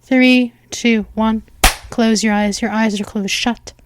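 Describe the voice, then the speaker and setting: A woman playing a seductive vampire mistress in a hypnosis roleplay, speaking softly and slipping into whispers. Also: on the high side, fairly even intonation, background noise. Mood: hypnotic. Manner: slow, soft, hypnotic, with whispered stretches.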